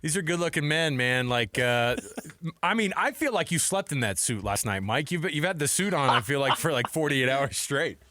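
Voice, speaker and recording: A man's voice: fairly high pitch, lively intonation, normal speed, sharp tone, dry recording. An American man co-hosting a sports radio show, chatting casually and informally, rambling and rephrasing as he goes. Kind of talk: casual sports talk banter.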